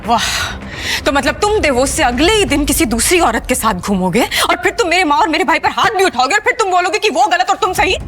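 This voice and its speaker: A woman's voice: very high-pitched, expressive, fairly quick, fairly bright tone, soft background music. A woman playing an indignant, commanding young ex-wife in a Hindi audio drama, haughty and scolding. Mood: indignant, hurt. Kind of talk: Hindi audio series acting.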